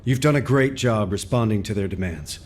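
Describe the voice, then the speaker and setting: An actor playing a calm, supportive male commander giving orders and praise. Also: on the low side, brisk, slight background noise, natural voice. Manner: calm and encouraging. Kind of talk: game cutscene voice acting.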